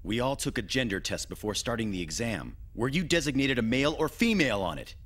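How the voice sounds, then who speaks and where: A man's voice: mid-range voice, big pitch swings, fast talker, fairly bright tone. A man playing a sensible, questioning young space crewman in an English anime dub, businesslike and probing. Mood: stern, questioning.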